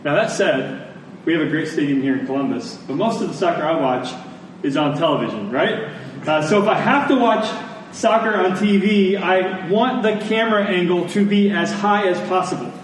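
A very reverberant room carries this American man's voice, picked up at some distance with faint noise behind it. He is a pastor giving a sermon in a conversational manner, breaking his thoughts into short, deliberate phrases. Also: high-pitched, animated. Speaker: pastor preaching. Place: large church meeting room with congregation. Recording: echoey hall, distant mic, faint noise.

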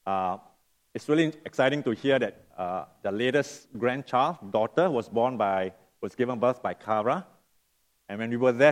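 A middle-aged man from Singapore, preaching as a guest. He sounds nasal from a lingering cough and speaks in a friendly, unhurried way, often stopping to rephrase. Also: fairly low pitch. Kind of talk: sermon.

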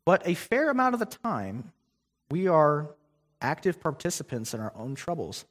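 A man's voice: fairly high pitch, big pitch swings, moderate pace. Young man preaching in a reverberant church. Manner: conversational preaching with frequent fillers and restarts. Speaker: guest preacher. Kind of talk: sermon. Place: church sanctuary. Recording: long hall reverb.

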